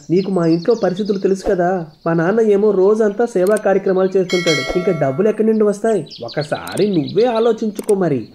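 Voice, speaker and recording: A man voicing a resentful, idle young villager in an animated moral story, grumbling casually. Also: sits high, slight background noise, voice pushed up, lightly acted.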